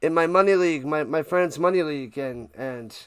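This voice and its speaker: Casting: male sports streamer, high clear voice, casual chatty play-by-play, repeats himself, close dry sound. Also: fairly quick, fairly bright tone, no room sound. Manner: informal, conversational, repeats phrases. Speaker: live sports streamer, host. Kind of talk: casual play-by-play watch party commentary.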